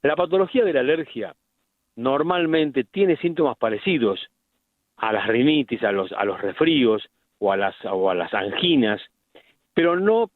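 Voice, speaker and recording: A middle-aged man with an Argentine accent, a medical specialist explaining things deliberately in a radio interview, with frequent restarts. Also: medium pitch, lively intonation, dry recording. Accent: Argentine Spanish. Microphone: phone line. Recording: tinny phone line, dry.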